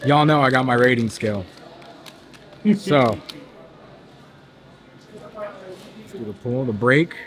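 A man's voice: mid-range voice, sing-song, slow, pauses often, fairly bright tone. A young man casually vlogging at a convention, upbeat and chatty, rating a cookie aloud. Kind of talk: casual vlog chat. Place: busy convention hotel hall, indoors. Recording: crowd chatter behind. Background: crowd chatter.

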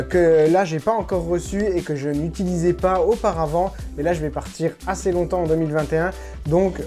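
A man in his thirties talks straight to the camera in a loose, slangy way, full of fillers and bursts of emphasis. His voice sits a touch high yet sounds mellow and relaxed. Soft music plays faintly underneath. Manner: chatty, informal, slangy and animated, lots of fillers. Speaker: motorcycle vlogger. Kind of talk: casual talk to camera. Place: home room with desk.

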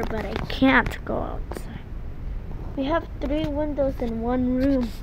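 A young woman casually filming a home tour on her phone, chatting and pointing things out as she walks. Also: sits high. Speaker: vlogger. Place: small house, by windows and on porch. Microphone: handheld phone camera. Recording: phone audio with outdoor noise.

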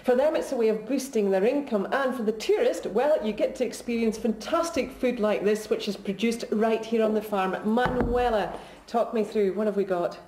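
A woman's voice: fairly high pitch, normal speed, few pauses, darkish tone, slight background noise, low-quality recording. Upbeat female travel presenter. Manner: upbeat, polished delivery. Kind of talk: scripted TV narration. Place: outdoors in an alpine village. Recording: music bed under voice.

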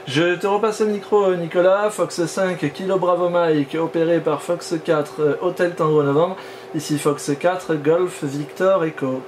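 A man's voice: a little high, steady tone, unhurried. A man operating amateur radio, talking in a casual, informal way during a contact. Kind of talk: casual ham radio exchange. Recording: clear background noise.

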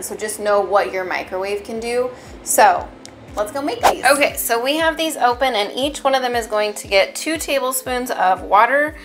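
A woman's voice: medium pitch, a bit bright, a little hiss or hum. A young adult woman casually reviewing keto snacks at her dining table, chatty and explaining step by step. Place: dining room at home, indoors.

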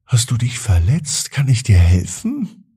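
A man voicing a kind, caring young boy in a bedtime story, speaking gently and with concern. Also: medium pitch, wildly swooping pitch, unhurried, slightly rough, voice pushed up, exaggerated voice. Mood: gentle concern.

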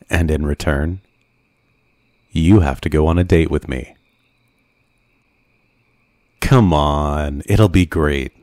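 A man playing an obsessive, self-pitying, lovesick super villain in an ASMR roleplay, with theatrical, brooding delivery and dramatic pauses. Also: extremely low pitch, darkish tone, no echo, studio quality. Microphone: close studio mic, dry.

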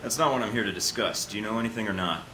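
An actor playing a cocky young secret agent in a video game, terse and dry. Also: male voice, on the low side, racing, music in the background, lightly acted. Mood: businesslike, impatient. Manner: dry, curt, understated. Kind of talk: video game cutscene acting.

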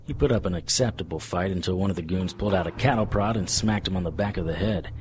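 An actor playing a wry, deadpan, hardboiled supernatural private investigator narrating a noir radio drama in a husky voice. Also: male voice, low-pitched, fast, a little hiss or hum, natural voice. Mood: wry, watchful. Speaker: narrator and lead.

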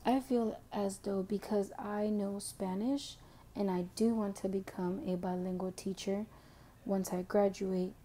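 A young woman with a Mexican background reading a prepared self-introduction as an education student, slowly and carefully. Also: medium pitch, steady tone, warm tone, a little hiss or hum. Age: young adult. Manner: slow, careful reading, switching to Spanish for a passage.

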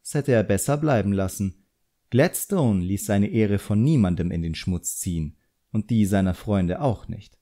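A frank, composed woman who teaches drawing, voiced by a male audiobook reader. The voice is mellow, and the delivery is measured and instructive with a pointed edge. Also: fairly low pitch, close to an everyday voice.

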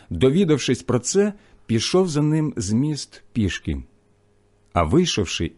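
A man reading scripture aloud as solemn scripted narration, in a measured, clearly phrased way. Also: mid-range voice, warm tone. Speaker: scripture reader.

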